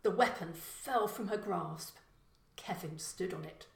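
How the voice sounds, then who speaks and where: A woman reading a short story as scripted narration, in a measured, expressive storytelling manner. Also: fairly low pitch, gravelly, echoey room.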